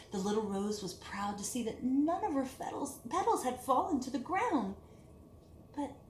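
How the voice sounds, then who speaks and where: A woman reading a picture book aloud to children in an expressive, deliberate storytelling manner, with hushed dips and emphatic lifts. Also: on the high side. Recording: echoey room with clear background hiss.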